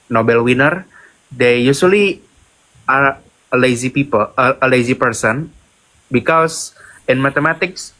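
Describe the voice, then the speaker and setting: A man's voice: medium pitch, a bit bright, rough recording. A young man with an Indonesian accent, chatting on a livestream in English with playful hesitations and fillers. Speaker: livestream co-host. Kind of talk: casual livestream chat.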